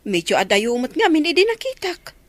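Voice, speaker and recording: Animated, high-voiced Filipina neighbour played by a woman. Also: moderate pace, no echo. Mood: insistent. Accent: northern Philippine. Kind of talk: audio drama acting.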